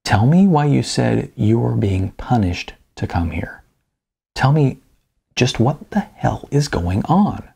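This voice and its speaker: Audiobook character: earnest young woman, pushy and eager, read by a man, husky with very lively pitch. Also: takes it slowly, exaggerated voice. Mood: quiet, probing. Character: earnest, persistent young woman, chatty then probing.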